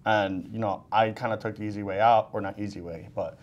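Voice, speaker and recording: A man in his thirties hosting a casual business talk show from a TV studio desk, easygoing and quick to joke. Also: fairly low pitch, steady tone, lo-fi sound. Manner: jokey, easygoing. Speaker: host. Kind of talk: casual panel chat.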